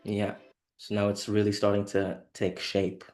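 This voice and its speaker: Low-voiced man, relaxed on a call. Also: unhurried, faint music behind. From Thailand. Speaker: co-host of an online workshop. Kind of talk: casual online session chat. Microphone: video call.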